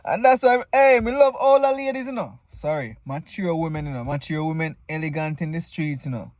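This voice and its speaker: A man's voice: fairly high pitch, expressive, fairly quick, no echo. A man with a Jamaican accent hosting comedic reaction commentary in casual, exclamatory Patois. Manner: informal Patois, exclamatory, joking. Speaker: video host reacting to stage play clips.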